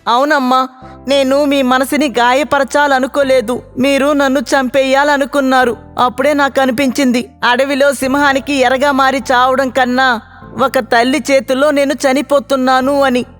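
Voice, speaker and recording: A woman's voice: high-pitched, level tone, moderate pace, lightly acted. Ballu, a woman voicing a loyal pet monkey. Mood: earnest. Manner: earnest, soft-spoken pleading. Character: loyal male pet monkey, gentle and devoted. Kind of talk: cartoon voice acting. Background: faint background music.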